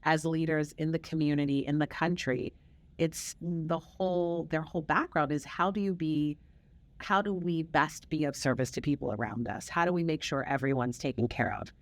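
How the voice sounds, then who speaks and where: A middle-aged woman with a notably low voice hosts a conversation, sharing family history in a reflective, storytelling way. She stresses her points by repeating words. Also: moderate pace. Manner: reflective storytelling, warm emphatic repetition. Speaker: podcast host. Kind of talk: casual conversational interview.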